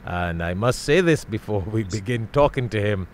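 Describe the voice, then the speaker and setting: A middle-aged man from Trinidad hosting an interview in a studio, speaking into a boom mic with frequent restarts and repetitions. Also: expressive, normal speed, a little hiss or hum. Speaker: interview host. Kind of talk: casual interview. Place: studio with world map backdrop.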